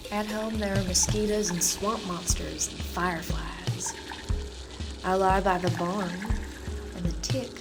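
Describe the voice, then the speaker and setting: An actress plays a rapt, unsettled woman in a radio drama, confiding her obsession very intimately. Her delivery is slow and halting, swelling and then falling into breathy whispers. Her mid-pitched voice sits over clearly audible music. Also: fairly even intonation, close to an everyday voice. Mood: feverish, entranced. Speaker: actor performing a radio play monologue. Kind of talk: dramatic radio play monologue. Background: music and sound design.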